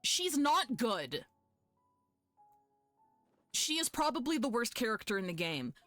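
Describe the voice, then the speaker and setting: A woman streaming a game live, chatting casually with viewers in an animated, sweary, fan-like way. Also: fairly high pitch, measured pace, no echo, faint music behind. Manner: foul-mouthed. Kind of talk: casual chat with viewers while gaming.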